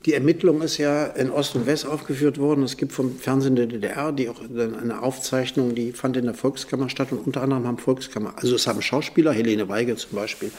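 A man's voice: mid-range voice, fairly even intonation, fast, hardly any pauses, a little mellow. A man gives a spontaneous explanation in an interview, informal, digressive and often correcting himself. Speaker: interviewed commentator.

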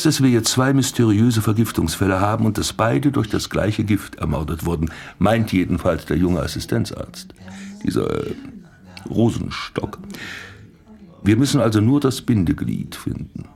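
An actor playing a brooding, puzzled police commissioner in a radio play, husky and thinking aloud. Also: male voice, on the low side, expressive, unhurried, pauses often, a little mellow. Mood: musing. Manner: muttering, thinking aloud with exclamations.

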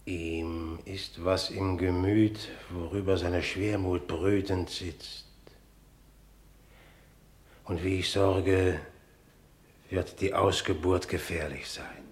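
Low, slow, calculating king. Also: flat delivery. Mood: brooding, resolute. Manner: measured, brooding, deliberate. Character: grave, calculating, suspicious king. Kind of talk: classical stage tragedy in German radio drama.

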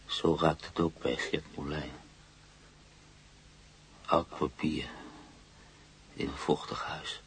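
A troubled man reliving wartime memories in a radio play. His voice is very deep and creaky, and he speaks slowly in brooding, broken fragments, over faint hiss. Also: fairly even intonation, fairly bright tone, exaggerated voice. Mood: brooding, haunted. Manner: halting, brooding delivery in short fragments. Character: troubled male patient reliving frightening wartime memories under sedation. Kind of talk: Dutch-language radio play acting.

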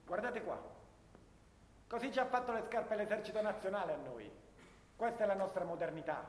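A male actor as an angry First World War infantryman with a high-pitched voice, in a radio play. He rants with bitter sarcasm and indignant rhetorical questions. The sound rings with room echo over faint noise. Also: a little fast, natural voice. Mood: indignant complaint. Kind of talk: theatrical radio play monologue. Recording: roomy, reverberant hall with faint noise.

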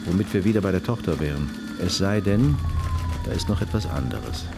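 An actor playing a sober police detective in a radio crime drama, speaking in a terse, matter-of-fact way. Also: male voice, sits low, big pitch swings, a little fast.

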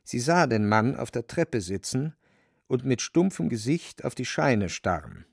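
Man, gravelly voice, dry acoustics, scripted storytelling, radio drama narrator. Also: mid-range voice, sing-song, a little slow, dry recording.

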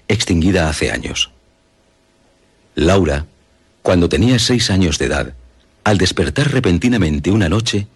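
Male narrator, Castilian accent, deep with a raspy edge, formal and measured scripted storytelling. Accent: Castilian Spanish. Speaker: radio drama narrator.

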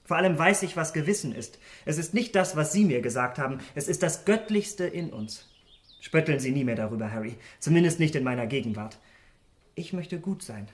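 An actor playing a vain, impulsive, romantic young gentleman in a literary radio drama, earnest and theatrical in manner. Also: a little high, expressive, a little fast, lightly acted. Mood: fervent, resolute. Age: young adult.

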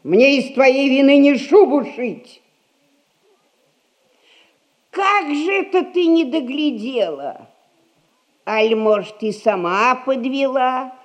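Bossy rich old granny from an old merchant comedy, talks real slow, won't budge. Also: female voice, sits high, animated. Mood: stern, reproachful. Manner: imperious, measured, folksy old-fashioned merchant speech. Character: stern, rich old merchant-class grandmother, unyielding household ruler. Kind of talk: Russian stage comedy recorded as radio play.

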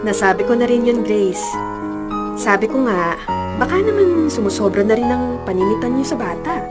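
An actress playing a frank, concerned cousin who earnestly gives advice in a radio drama. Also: female voice, on the high side, big pitch swings, moderate pace, loud music behind the voice. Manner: earnest, gently reproving.

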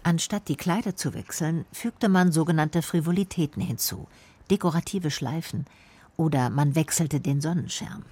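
A woman's voice: sits low, moderate pace, a little mellow, slightly rough. A woman reading scripted radio documentary narration and translations as a voice-over.

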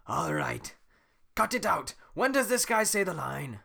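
An impatient, grumbling male ogre, as a teenage boy acts him out. The voice is raised and bright, snapping with exasperation. A cartoon brute short on time. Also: high voice, wildly swooping pitch, unhurried, creaky, husky, voice pushed up, heavily acted.

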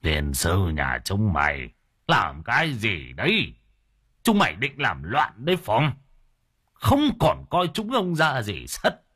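A man's voice: fairly low pitch, huge pitch swings, slow, a bit bright, slightly rough, heavily acted. A man voicing a furious, cursing old male clan elder. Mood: scolding. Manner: harsh, cursing, bossy.

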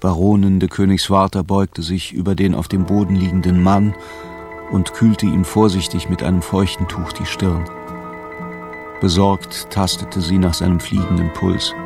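Radio play casting: literary narrator, grave, deep gravelly voice, unhurried, over music. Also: male voice, flat delivery. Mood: grave, tender. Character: grave male storyteller of a jazz legend. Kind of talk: slow scripted literary narration in a radio drama. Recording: music behind the voice.

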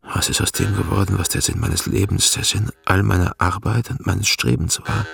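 An actor playing a weary, embittered old shepherd in a radio drama, terse and heavy, with a gravelly, husky voice. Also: male voice, deep voice, steady tone, moderate pace, a bit bright, heavily acted. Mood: anguished. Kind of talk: German radio drama acting.

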